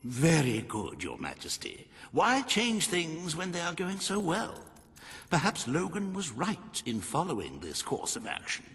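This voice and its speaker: An actor voicing a courteous, deferential royal adviser in a video game, formal and genteel with an insinuating manner. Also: male voice, fairly high pitch, huge pitch swings, a little slow, fairly bright tone, big-room sound, exaggerated voice. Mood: courteous, sly. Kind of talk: scripted video game dialogue.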